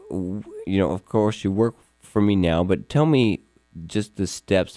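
A man's voice: sits low, mellow, no room sound. A man hosting an interview with his employee, rambling earnestly and repeating words as he talks. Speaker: host, firm owner interviewing an employee. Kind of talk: casual interview. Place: Yuma, Arizona.

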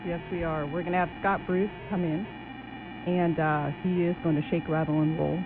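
A woman's voice: low-pitched, fairly even intonation, normal speed. A woman guest on a radio show, giving brief, hesitant answers about an upcoming event. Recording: tinny phone-like broadcast with music.

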